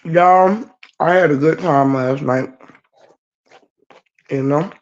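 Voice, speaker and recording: A woman with a naturally low voice that is scratchy today, chatting laid-back to viewers on a livestream from her bed. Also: slow pace. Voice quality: low-toned voice. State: scratchy voice after a night of singing. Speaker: livestream host. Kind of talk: casual chat greeting viewers. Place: lying in bed at home. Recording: dull lo-fi stream audio.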